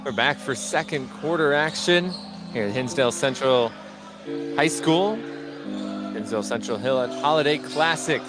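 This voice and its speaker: A man doing live play-by-play basketball commentary for radio from a high school gym. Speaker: play-by-play announcer. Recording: faint gym noise, bouncing basketball.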